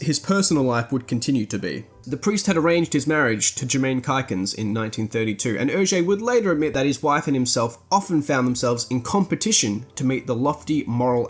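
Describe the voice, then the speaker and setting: A man reading a scripted, essay-like review as a podcast host, in formal, literary and articulate phrasing. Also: mid-range voice.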